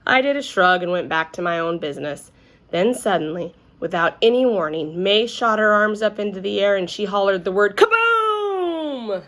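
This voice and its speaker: Expressive woman reading a children's story. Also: mid-range voice, a little fast. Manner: animated, acting out characters' lines. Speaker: read-aloud reader.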